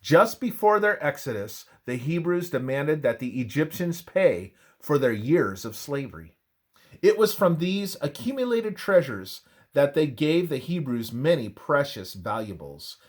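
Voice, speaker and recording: A man reading a scripted historical and religious narration aloud in a measured, deliberate way with emphatic phrasing. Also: a little high, big pitch swings.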